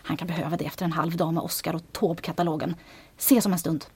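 An actress playing a commanding resistance captain with a husky voice, giving crisp orders. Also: female voice, deep voice, little pitch movement, background noise.

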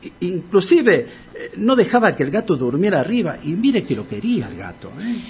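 A man's voice: high-pitched, sing-song, a little fast, a little mellow, slight background noise. An actor with a Rioplatense Argentine accent playing a hapless, anxious, sentimental man who stammers and rambles in a comedic radio play. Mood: eager, courteous. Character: Tito, hapless debt-ridden man, anxious, sentimental and fussy.

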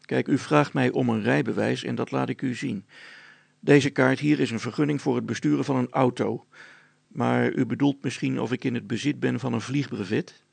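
A man voicing a calm helicopter pilot for a storybook, polite, patient and gently ironic. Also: medium pitch, moderate pace, gravelly, husky, dry recording. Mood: friendly, explaining. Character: calm, courteous, cheeky male helicopter pilot.

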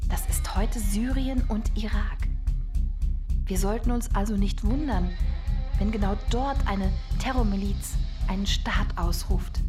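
An actress playing a defiant activist in a radio drama, declaiming a political monologue emphatically. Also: female voice, medium pitch, measured pace, lightly acted. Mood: grave, resolute. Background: loud music.

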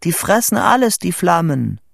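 A man voicing an excited boy who shouts a dramatic eyewitness report in short, exclaimed bursts, as audiobook dialogue. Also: high voice, moderate pace, creaky, no room sound, studio quality, pitched above the performer's own voice, heavily acted.

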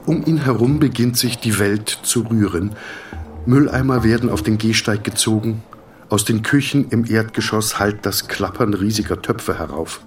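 A man reading scripted literary narration for a radio drama in a measured, sober manner. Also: lowish voice, far from the mic.

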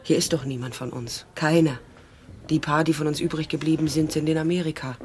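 An actress playing an insistent middle-aged mother who survived the war, speaking gravely and with measure in a radio drama. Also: sits low, steady tone, fairly quick, darkish tone, slight background noise, close to an everyday voice. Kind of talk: dramatic radio play dialogue.

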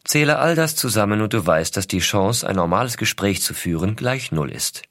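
A man playing an affectionate astronaut father, reading his letters in a measured, reflective way. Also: on the low side, lively intonation, fairly bright tone, no echo, studio quality. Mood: matter-of-fact. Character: male American astronaut, affectionate father writing to small son. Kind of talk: scripted first-person letter narration.

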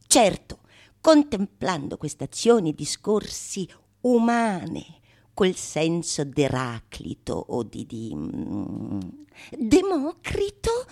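A woman actor performing a theatrical monologue in a declamatory manner, with dramatic pauses and relish for long lists of words. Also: mid-range voice, darkish tone. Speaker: solo stage actress. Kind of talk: theatrical monologue of a Renaissance comedy.

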